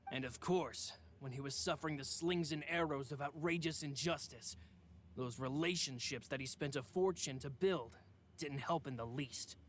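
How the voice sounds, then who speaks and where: An actor playing a composed, eloquent gentleman aide in a video game, speaking in a formal, measured way. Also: on the high side, slight background noise, natural voice. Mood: grave.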